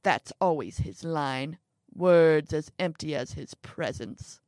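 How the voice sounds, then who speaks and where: A woman voicing a sinister, irascible old male villain in an audiobook, with a slow, grumbling, contemptuous delivery. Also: low voice, animated, a little mellow, no room sound. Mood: enraged.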